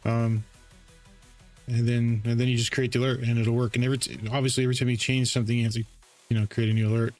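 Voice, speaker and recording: Guy doing a casual live software walkthrough, mid pitch, stops and restarts a lot, music in the background. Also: level tone, fast. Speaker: trading bot developer and livestream host. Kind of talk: casual screen-share tutorial. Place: live voice call on a screen-share stream.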